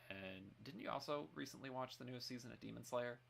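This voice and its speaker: A stream co-host bantering casually and reacting out loud in a conversational way. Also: medium pitch, quick pace, vocal fry. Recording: faint background noise under voice.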